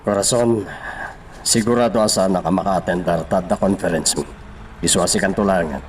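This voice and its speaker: A man acting the part of a father with calm authority in a radio drama. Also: sits low, moderate pace, natural voice. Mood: measured, firm. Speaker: actor. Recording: very noisy radio drama recording.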